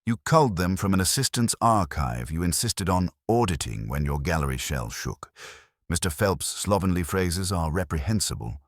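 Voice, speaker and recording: Man voicing a very deep, imperious detective. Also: lively intonation, dry recording, studio quality. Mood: scornful. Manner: formal, ornate, measured rebukes. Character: stern imperious older male detective, pedantic and scornful.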